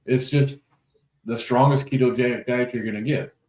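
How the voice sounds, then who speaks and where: Man in his fifties, mid pitch, matter-of-fact, echoey room. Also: steady tone, moderate pace, darkish tone. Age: 50s. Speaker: panel guest. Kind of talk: casual chat.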